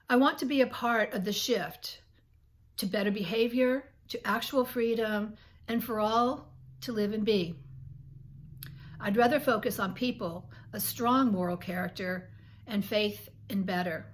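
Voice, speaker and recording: A woman in her sixties with a scratchy, under-the-weather voice, reading her thoughts aloud earnestly and softly to her channel's viewers, now and then clearing her throat. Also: medium pitch. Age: 60s. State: scratchy voice from illness. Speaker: channel host. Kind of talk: reflective reading to camera.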